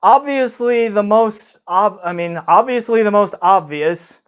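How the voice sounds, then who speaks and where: A man with a voice pitched a little higher than most talks through a casual gear review. He explains as he goes, leaning on certain words for emphasis and often qualifying what he has just said. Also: unhurried, clean tone. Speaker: product reviewer.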